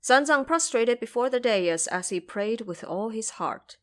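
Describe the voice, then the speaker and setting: Woman, storyteller, scripted drama narration, animated intonation, expressive, careful phrasing. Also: on the high side, a little slow.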